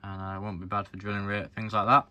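Male hobby reviewer, low-pitched but bright and forward, casual and explanatory delivery. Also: level tone, quick pace, no echo, faint music behind. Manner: informal, explanatory, addressing viewers directly. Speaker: presenter of a farm model review channel. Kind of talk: casual hands-on review narration.

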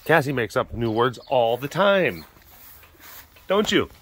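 Rambling, slightly hoarse man outdoors. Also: medium pitch, sing-song, slow pace. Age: adult, getting older. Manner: rambling and chatty, softening into baby talk with the animals. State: slightly hoarse, partly lost voice. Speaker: big cat sanctuary keeper and vlogger. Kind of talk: casual vlog narration talking to animals. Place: outdoors at animal enclosures. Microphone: handheld camera mic, speaker off camera.

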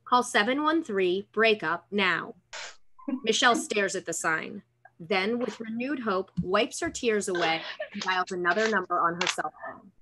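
A woman's voice: medium pitch, animated, a little slow, pushed and bright, slight background noise, poor audio. A young woman narrating scripted stage directions for a table read over a video call. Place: room at home, on a video call.

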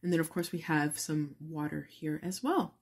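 A woman's voice: sits low, moderate pace, husky. A woman in her forties, an author, chatting casually through a home cooking video with frequent ums. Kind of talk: casual cooking vlog narration. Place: home kitchen.

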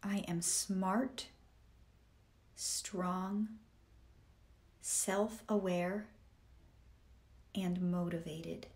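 Lady doing guided meditation affirmations, lowish voice, really slow n measured, lots of pauses. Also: fairly even intonation, a little mellow. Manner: deliberate, measured delivery in short phrases with pauses.

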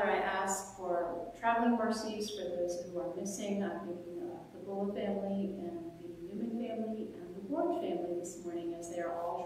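A woman's voice: fairly low pitch, a little mellow, a little hiss or hum. A middle-aged woman leading a church service, talking informally with fillers and praying aloud. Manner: informal and chatty with fillers between announcements. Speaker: worship leader. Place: large church sanctuary, indoors. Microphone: far camera at the back of the hall. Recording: echoey church hall from far off.

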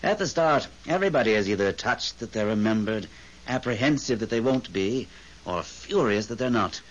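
An actor playing a jaded, sardonic long-dead ghost in a radio drama, urbane, dry and world-weary. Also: male voice, fairly low pitch, animated, normal speed. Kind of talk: 1970s radio drama acting. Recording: muffled, hissy old radio recording.

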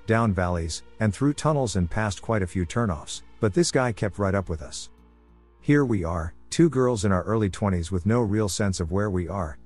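A man with a fairly low voice reads scary first-person stories in a measured, deliberate way. He pauses for effect between short lines. Faint music plays under his voice. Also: darkish tone. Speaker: narrator. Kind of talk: scripted horror story narration.

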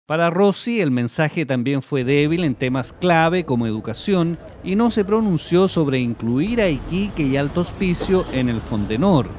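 A man with a Chilean accent narrating a regional television news report in a measured, scripted way. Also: fairly high pitch, expressive, noisy background. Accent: Chilean Spanish.

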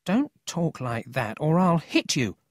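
Narrator doing earnest worried country kid in a storybook, higher voice, bit husky, stands up for himself. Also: big pitch swings, measured pace, a little mellow, dry recording, studio quality. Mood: defiant. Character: earnest, anxious young country boy on a thief's trail.

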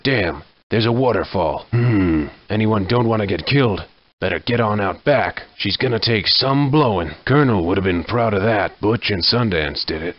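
Game hero, male actor as sardonic frontier lawman: raspy, lowish, terse and wry, on slightly dull audio. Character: gruff, sardonic Wild West sheriff gunslinger hero. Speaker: voice actor for a game mod protagonist. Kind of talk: video game character one-liners.

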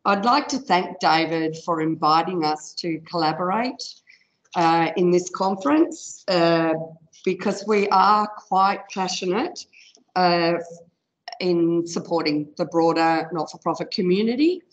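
A woman giving a conference talk in an informal, loud-voiced way, with frequent fillers. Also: on the low side, measured pace, dull audio. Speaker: conference presenter, software product specialist. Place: conference room.

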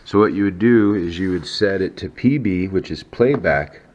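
A man's voice: low-pitched, moderate pace. A man giving a casual, unscripted demonstration as a hobbyist, explaining things in a matter-of-fact way in a kitchen. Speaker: radio hobbyist demonstrating a vintage set. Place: kitchen indoors. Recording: dull sound with faint background noise.

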